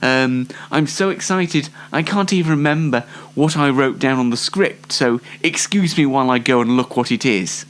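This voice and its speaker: A man's voice: medium pitch, moderate pace, hardly any pauses. A twenty-year-old British man presenting an informal solo online show in a dry, tongue-in-cheek, rambling way.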